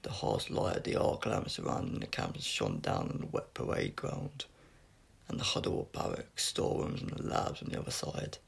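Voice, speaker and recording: Gravelly, husky man reading a story aloud. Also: a bass voice, huge pitch swings, moderate pace. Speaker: audiobook reader. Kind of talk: scripted narration of a science fiction story.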